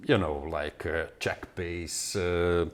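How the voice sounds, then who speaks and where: Very deep-voiced Serbian-accented man. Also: steady tone. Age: 40s. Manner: halting, many fillers and restarts. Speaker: guest musician. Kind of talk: interview answers.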